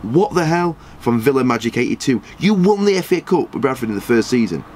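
Man in his thirties, rambling game-channel host with a lively, bouncing voice, some faint hum behind. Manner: chatty, rambling, quick jumps in pitch when surprised. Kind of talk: casual game commentary and comment reading.

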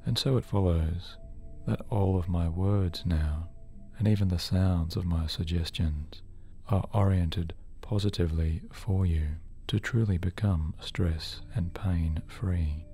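A man narrating a guided sleep hypnosis session in a soothing, measured, deliberate way, as a hypnotherapist. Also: low voice, steady tone, dark tone. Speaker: hypnotherapist host. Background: background music.

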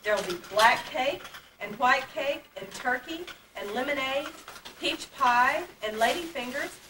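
A woman playing an eager, busy young girl in a children's puppet play, excited and exclamatory. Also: sits high, measured pace. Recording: echoey, noisy distant camcorder recording.

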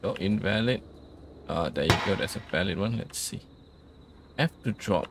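A man's voice: low-pitched, animated, normal speed, gravelly, very noisy. A man giving casual let's play commentary, thinking aloud and reading out instructions as he goes. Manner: murmured thinking aloud, reads on-screen instructions. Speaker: gaming video host.

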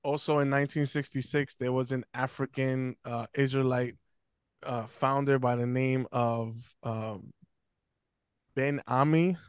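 Earnest, halting man on a desk mic. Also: medium pitch, smooth, no echo, clean studio sound. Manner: earnest, hesitant with many fillers and restarts. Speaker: podcast guest speaker. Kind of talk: casual panel discussion. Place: small room at home, video call. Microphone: close desk mic on stand.

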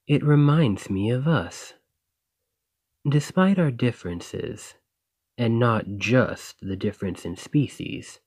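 A man acts out a lovestruck dragon who works as a librarian, talking slowly and softly to his beloved. He is earnest, gentle and a little shy, in an intimate romantic audio roleplay with a very dry, close sound. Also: medium pitch, slightly rough, no echo, exaggerated voice. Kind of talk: romantic ASMR roleplay, speaking to a listener.

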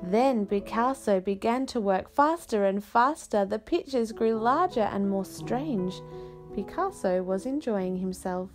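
A woman reading a children's picture book aloud with expressive, careful, unhurried phrasing and dramatic pauses. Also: mid-range voice, faint music behind.

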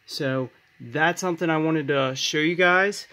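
Higher-pitched man giving a casual tech demo. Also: unhurried, sharp tone. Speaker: tech tips video host. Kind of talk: casual hands-on app demonstration. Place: indoors at a desk.

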